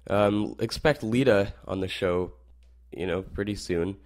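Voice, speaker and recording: A teenage boy hosting a rock radio show, slightly hoarse, wrapping up with rambling asides and many fillers. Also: lowish voice, measured pace, darkish tone. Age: teens. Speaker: radio host. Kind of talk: casual show wrap-up.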